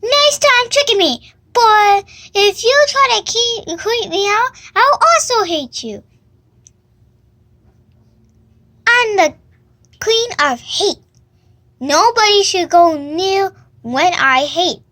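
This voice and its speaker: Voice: very high voice, lively intonation, slow, sharp tone, full character voice. A young female amateur voicing a hot-tempered, melodramatic cartoon girl critter who threatens and declares hate. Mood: angry, threatening. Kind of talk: amateur character voice acting.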